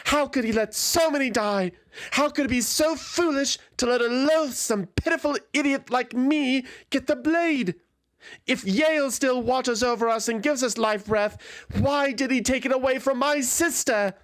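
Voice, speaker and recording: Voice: high-pitched, normal speed, fairly bright tone, voice pushed up. A narrator voicing an anguished young feathered warrior woman in a fantasy audio story, impassioned and pleading, full of despair and self-loathing. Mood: bitter, pleading.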